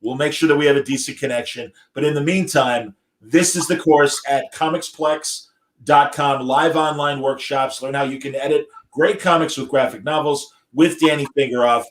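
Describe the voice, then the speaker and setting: A man hosting a live online interview over a video call, enthusiastic and quick to praise. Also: fairly high pitch, steady tone. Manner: enthusiastic, effusive. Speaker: host. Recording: roomy echo.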